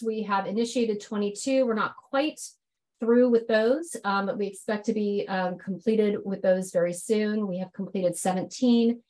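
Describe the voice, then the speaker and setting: Casting: woman, slight head-cold hoarseness, businesslike presenter, steady mid pitch. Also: poor audio. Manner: businesslike, with frequent ums and acronyms. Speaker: agency enforcement presenter. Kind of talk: presentation to stakeholders with slides.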